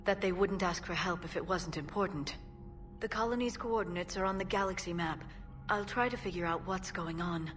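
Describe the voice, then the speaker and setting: An actress playing a poised, discreet alien intelligence broker in a video game, careful and measured in speech. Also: female voice, fairly low pitch, soft background music, close to an everyday voice. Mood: composed, concerned.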